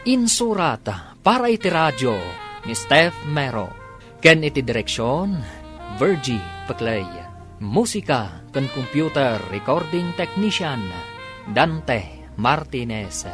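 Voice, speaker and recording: Higher-voiced male radio drama announcer. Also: sing-song. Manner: measured, declamatory. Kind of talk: formal scripted series introduction. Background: music.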